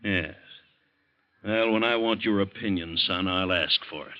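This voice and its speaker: An American actor in an old-time radio drama, playing an anxious, blustering middle-aged district attorney who is fretful and imperious. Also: male voice, deep voice, unhurried, highs cut off. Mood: stern, irritated.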